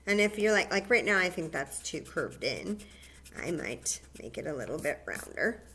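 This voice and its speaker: A woman's voice: low voice, sing-song, normal speed, sharp tone, slight background noise. A woman hosting a library story time, guiding children patiently through a paper plate craft step by step.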